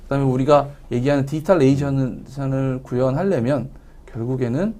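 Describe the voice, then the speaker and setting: A man's voice: medium pitch, moderate pace, mellow. A man explaining technical material as a company representative in a webinar interview.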